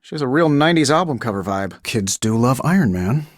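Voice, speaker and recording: An actor playing a cocky genius billionaire superhero in a video game, with an American accent and a glib, sarcastic, wry delivery. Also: male voice, mid-range voice, animated, a little fast, dry recording, clean studio sound, natural voice.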